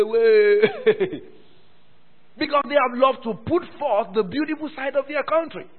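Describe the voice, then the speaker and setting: A man preaching a sermon to a church, repeating phrases and calling for responses from the congregation. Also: high voice. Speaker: pastor. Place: church hall. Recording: thin phone-like sound with long hall reverb.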